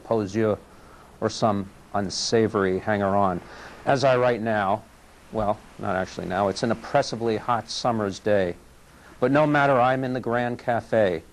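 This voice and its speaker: A man's voice: lowish voice, low-quality recording. A man reading his own wry personal essay aloud, a local poet with a laid-back delivery. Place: recorded on location for public access TV.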